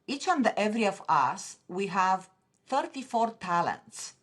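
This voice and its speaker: A woman's voice: medium pitch, very animated, measured pace, a bit bright. A woman with a Romanian accent, a podcast guest speaking earnestly and passionately, often restarting her sentences. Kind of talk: interview conversation.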